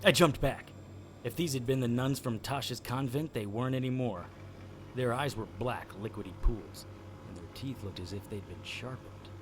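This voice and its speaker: An actor playing a grim, deadpan occult investigator who narrates his own adventure in a noir audio drama. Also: medium pitch, normal speed, noisy background, close to an everyday voice. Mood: grim, tense.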